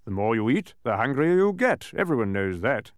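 A man's voice: mid-range voice, sing-song, normal speed, dry recording, clean studio sound, close to an everyday voice. Man putting on a pompous, emphatic storybook mathematician. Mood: impatient, lecturing. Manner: emphatic, lecturing. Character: brisk, impatient, pompous male mathematician with odd logic.

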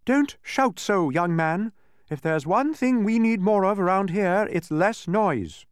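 A man acts out a proud, stubborn storybook lady in a high, swooping voice. She is imperious and impatient, instructing as if she owns the place. The sound is dry and close. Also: sing-song, normal speed, darkish tone, no echo. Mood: indignant, commanding. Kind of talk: storybook character voice.

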